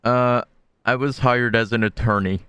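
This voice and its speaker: A man's voice: medium pitch, fairly even intonation, normal speed, in a large hall, background noise. A man streaming a video game, reading dialogue aloud and reacting casually.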